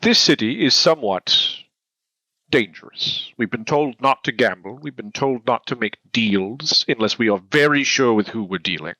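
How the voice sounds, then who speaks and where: Lively bright-voiced man at the table. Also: mid-range voice, moderate pace, dry recording, rough recording. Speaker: player. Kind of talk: in-character tabletop play.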